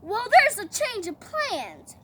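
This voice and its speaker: Young woman acting slow, imperious, outraged king. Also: extremely high pitch, huge pitch swings, voice pushed up, full character voice. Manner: commanding, drawn-out, emphatic. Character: imperious, outraged male king in a Bible tale. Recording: clearly noisy recording.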